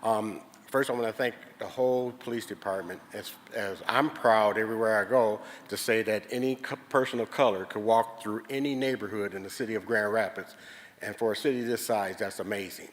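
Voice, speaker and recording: A man's voice: lowish voice, fairly even intonation, brisk. Plainspoken older man in a hall. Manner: earnest, plainspoken storytelling. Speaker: resident giving public comment. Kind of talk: public comment at a meeting. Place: large public meeting hall. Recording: reverberant hall.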